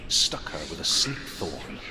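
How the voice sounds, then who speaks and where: A man playing a solemn storyteller of Norse legends in a game, with a grave, measured, scripted delivery. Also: rumbling low, very animated, heavily acted. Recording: echoey long reverb, noisy ambience.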